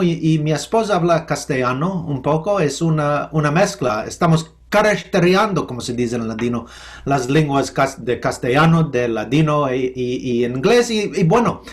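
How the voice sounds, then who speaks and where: A man who grew up speaking English, with an American accent, speaking slowly and thoughtfully as a professor giving an interview, pausing often and restarting his sentences. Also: on the high side. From United States. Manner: halting, thoughtful, with many restarts and fillers. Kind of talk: remote interview.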